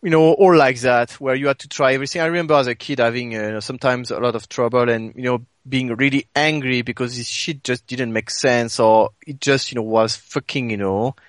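A man with a mid-range voice talks casually on a gaming podcast. His delivery is halting and informal, and the sound is close and dry. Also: medium pitch, steady tone, dry recording. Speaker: podcast co-host. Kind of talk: casual podcast chat about video games.

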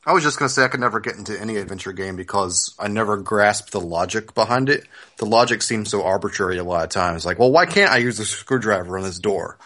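Lower-voiced man in casual chat. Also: expressive, fast talker, sharp tone. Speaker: podcast co-host. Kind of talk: casual podcast chat about video games.

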